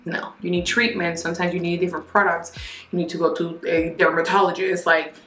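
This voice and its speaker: A woman's voice: fairly low pitch, brisk, roomy sound. A young woman reviewing skincare products in a casual, candid chat, speaking to a camera close in front of her at home. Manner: chatty, candid, animated asides. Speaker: beauty vlogger. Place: home bathroom, indoors.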